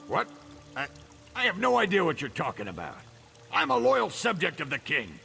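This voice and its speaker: A shifty male orc huckster for a fantasy game. His delivery is gravelly and swings up and down in animated bluster, smooth-talking and self-righteous, with music playing behind him. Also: high-pitched, normal speed, full character voice. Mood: flustered, defensive. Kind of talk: video game character acting.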